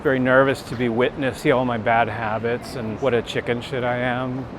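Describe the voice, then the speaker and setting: A middle-aged American man talks in a casual, reflective way at an ordinary male pitch. He is candid and self-deprecating, like someone thinking aloud on a walk. Clear urban rumble and traffic noise sit behind his voice. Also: little pitch movement, moderate pace. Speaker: street photographer and workshop teacher being interviewed. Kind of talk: casual reflective chat while walking. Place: outdoors on city streets. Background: urban rumble, vehicles.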